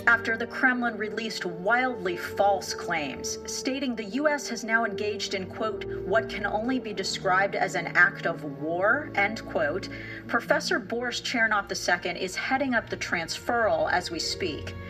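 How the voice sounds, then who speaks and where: Woman as brisk newsreader, medium pitch, formal emphatic delivery, audio drama, clear music bed. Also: expressive, far from the mic, natural voice. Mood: serious. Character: brisk, serious female news reporter. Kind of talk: acted news broadcast in audio fiction.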